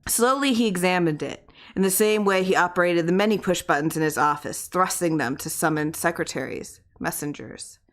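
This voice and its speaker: A woman reading a literary horror story aloud in expressive audiobook narration. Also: lowish voice, normal speed. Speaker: audiobook reader.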